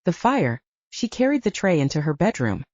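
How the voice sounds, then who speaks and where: A woman narrates a romantic thriller audiobook with a lively, wide-ranging delivery. Her tone is soft-edged and mellow, recorded close and dry, with a slightly muffled top end. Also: fairly low pitch, normal speed, no room sound.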